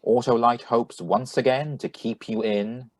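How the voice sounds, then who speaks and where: A man's voice: medium pitch, fairly even intonation, unhurried, darkish tone, dry recording. A man reading as an old-time radio announcer, with emphatic sales patter in a 1940s style.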